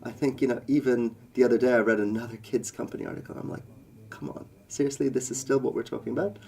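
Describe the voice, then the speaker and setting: Guy with a dark gravelly voice answering interview questions, earnest, lots of ums. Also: mid-range voice, fairly even intonation, fast. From India. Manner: earnest, many fillers and list-like phrasing. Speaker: interviewee, company co-founder.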